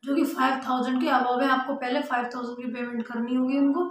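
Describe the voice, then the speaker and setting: A woman's voice: high-pitched, level tone, quick pace. A young adult woman, explaining things casually and directly to her viewers as a vlogger. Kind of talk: casual awareness talk to camera. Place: small room at home. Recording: very echoey room, faint noise.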